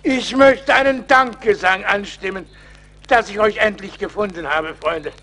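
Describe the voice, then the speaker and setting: High-voiced, fussy psalm singer. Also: male voice, unhurried, sharp tone, full character voice. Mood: agitated, pious. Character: fussy, pious, timid wandering psalm singer. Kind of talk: comic radio play acting.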